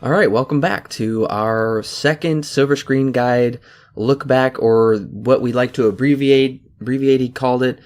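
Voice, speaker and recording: A man's voice: mid-range voice, unhurried, a little mellow, soft background music. A young man co-hosting a podcast in casual chat, talking steadily and reeling off dates and figures. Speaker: podcast co-host.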